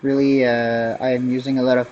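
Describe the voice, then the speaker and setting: A man's voice: mid-range voice, level tone, fairly quick, darkish tone, muffled recording, slight background noise. A man with a Quebec French accent, a native French speaker, chatting through a live hands-on repair tutorial as the host and technician, often searching for his words. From Canada, French-speaking province.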